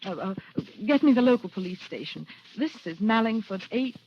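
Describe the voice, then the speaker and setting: A woman's voice: medium pitch, expressive, natural voice. An actress playing a determined, accusing young woman, brave but frightened, in earnest 1940s radio suspense style. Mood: urgent. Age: young adult. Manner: earnest, dramatic, halting under pressure. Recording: noisy, muffled old-time radio recording.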